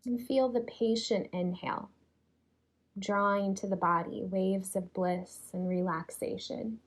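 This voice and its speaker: A woman leading a guided yoga relaxation in a soothing, unhurried way, leaving long pauses between phrases. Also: medium pitch, steady tone. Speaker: yoga nidra teacher.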